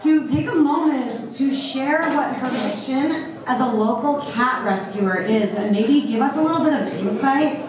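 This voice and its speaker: A woman's voice: high-pitched, a little slow. A woman in her thirties hosting a burlesque show, teasing the crowd as she introduces each act. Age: 30s. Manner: playful, teasing crowd work. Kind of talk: emcee banter introducing acts. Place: brick-walled club stage, indoors. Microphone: handheld mic, recorded by far camera. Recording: echoey club from far off, with background noise.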